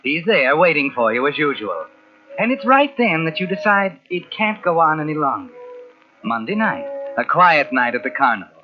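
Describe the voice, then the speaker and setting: Sinister knowing old radio narrator, deliberate, music behind him, muffled recording. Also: male voice, fairly high pitch, big pitch swings. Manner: insinuating, deliberate. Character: ominous, knowing male narrator speaking as a conscience. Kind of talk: old-time radio mystery narration in second person. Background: musical instrument playing under the voice.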